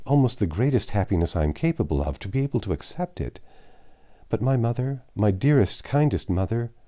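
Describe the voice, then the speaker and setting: A man's voice: fairly low pitch, dark tone. A man voicing an ardent, emotional young woman who speaks earnestly and fervently.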